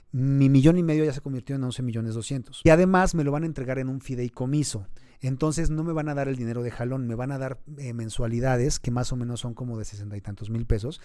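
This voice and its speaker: A Mexican man in his thirties with a mellow, dark voice, explaining money matters in an earnest, advising tone. He pushes up to emphatic points now and then. The sound is dry and close. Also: medium pitch, dry recording. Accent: Mexican Spanish. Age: 30s. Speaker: financial advisor, host. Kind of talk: explanatory interview talk.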